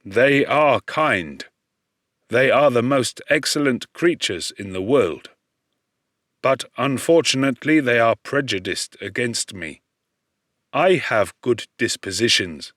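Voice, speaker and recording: A man's voice: medium pitch, animated, slow pace, fairly bright tone, dry recording, studio quality. A man voicing a lonely, humble outcast creature in an audiobook, speaking formally with anxious, pleading earnestness. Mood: earnest, troubled. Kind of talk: audiobook character voice.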